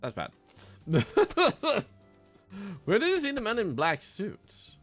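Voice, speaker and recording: Animated male streamer over game music. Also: sits high, slow. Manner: mutters counts, bursts into loud exasperated rants. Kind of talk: casual gameplay commentary.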